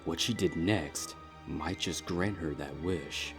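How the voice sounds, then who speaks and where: Deep-voiced man narrating a story. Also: takes it slowly. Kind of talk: scripted dramatic story narration. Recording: music under the voice.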